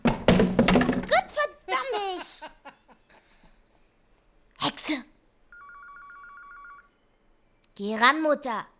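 Radio play role: embittered adult daughter, higher-pitched, accusatory, cutting delivery. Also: female voice, wildly swooping pitch, extremely slow, a bit bright, a little hiss or hum, full character voice. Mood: agitated, commanding. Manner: biting, accusatory. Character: angry, resentful grown daughter confronting her mother. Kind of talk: German radio drama acting.

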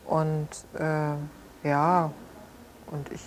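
Even, low-voiced reflective woman, noisy background. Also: very slow. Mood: reflective, subdued. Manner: reflective, hesitant. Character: measured, understanding woman recalling a fractured friendship. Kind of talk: naturalistic interview-style radio drama. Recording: clearly noisy ambient location sound.

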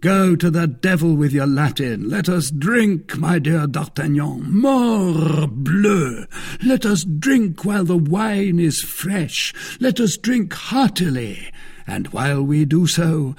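A man voicing a melodramatic, fervent young gentleman in a storybook, declaiming his lines theatrically. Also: fairly high pitch, expressive, slow pace, breathy edge, voice pushed up, exaggerated voice. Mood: exuberant. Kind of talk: acted dialogue in a storybook reading.

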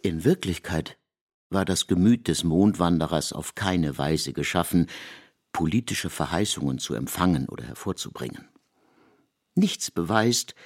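Very deep-voiced man narrating, lively intonation. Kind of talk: literary scripted narration in a radio play.

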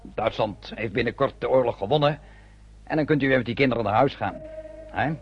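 An actor in a radio play as a genial, paternal German army sergeant, chummy and coaxing. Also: male voice, medium pitch, brisk, natural voice. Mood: affable, persuasive. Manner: chummy, coaxing, talks in reassuring little questions. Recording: noisy, dull old radio play recording.

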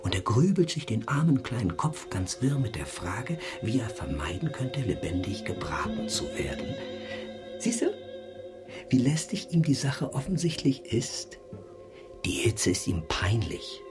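An actor playing a sly, philosophising hotel floor waiter in a radio drama, insinuating and theatrical, with whispery asides. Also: male voice, mid-range voice, wildly swooping pitch, a little slow, music in the background. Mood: mocking, insinuating.

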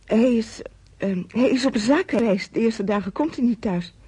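An actress in a radio drama playing a guarded, evasive wife who speaks politely and haltingly on the telephone. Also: female voice, a little high, expressive, dark tone, slightly rough, dry recording. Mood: guarded politeness.

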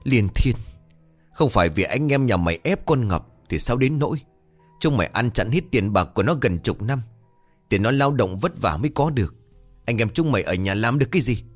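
Audio-story role: older village woman, sharp-tongued, ringing and accusatory, raised and swooping pitch, music underneath male narrator acts her out. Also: animated, fairly quick, lightly acted. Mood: furious defiance. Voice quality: loud, ringing. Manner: wailing, accusatory. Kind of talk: dramatic audio-story dialogue.

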